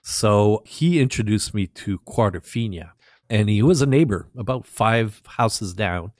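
A middle-aged French Canadian man with a Québécois French accent, French his first language, telling stories in a relaxed way as a guest DJ. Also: lowish voice, measured pace, no room sound, clean studio sound. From Quebec, Canada. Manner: relaxed storytelling with fillers. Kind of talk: casual interview chat.

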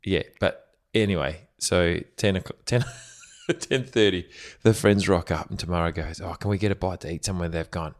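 Give me a very low-voiced man from Germany, dry and teasing. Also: normal speed. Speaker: podcast co-host. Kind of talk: casual chat.

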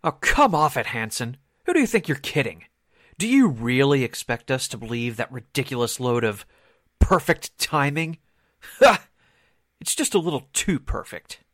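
An actor playing a smug, catty columnist's assistant in a 1940s-style radio drama, with arch, sarcastic insinuation. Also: male voice, medium pitch, sing-song, normal speed, a bit bright, no room sound, studio quality. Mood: scornful.

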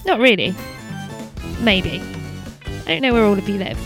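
A woman's voice: mid-range voice, expressive, normal speed, over music. A woman with a Welsh accent hosting a comedy podcast, teasing her guests in casual chat. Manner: teasing, playful.

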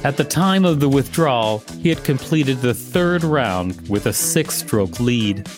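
A man's voice: medium pitch, lively intonation, measured pace, music in the background. A man co-hosting a show, reading an article aloud in a narrator's style and breaking off for playful, mock-serious banter.

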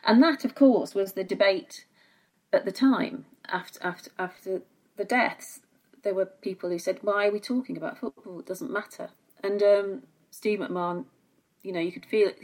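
Low-voiced woman, thoughtful and halting. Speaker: guest football journalist. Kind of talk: reflective podcast discussion.

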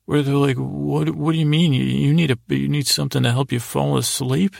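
Male podcast host, heavy vocal fry, dry recording; wandering, silly sleep-time monologue that keeps losing its thread. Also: mid-range voice, fairly even intonation, no echo. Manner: meandering, halting, trails off mid-thought; silly, mildly absurd humor. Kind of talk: rambling bedtime storytelling monologue.

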